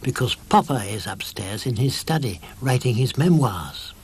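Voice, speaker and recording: Man voicing a young troll boy, cheeky, slangy, lively pitch, storybook. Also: normal speed, slightly rough. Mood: hushed. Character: young male troll, sulky then pleading.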